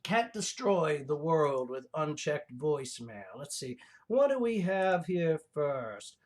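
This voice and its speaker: A performer puts on a gleefully deluded mad scientist hosting a comedy show. The delivery is slow and halting, wandering off into asides. This villain cackles and savors dreams of revenge on the world. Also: mid-range voice, big pitch swings, darkish tone. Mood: indignant, vengeful. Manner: halting, hesitant, prone to cackling. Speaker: show host. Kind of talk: rambling comic in-character monologue.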